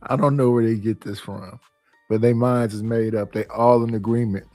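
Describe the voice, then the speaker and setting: A man's voice: mid-range voice, fairly even intonation, normal speed, mellow, dry recording, soft background music. A man greeting viewers by their handles and making laid-back, dry remarks on a livestream.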